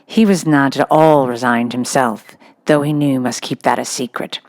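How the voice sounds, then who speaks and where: Low-pitched woman narrating a children's storybook. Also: steady tone, normal speed, a little mellow. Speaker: narrator reading a children's book.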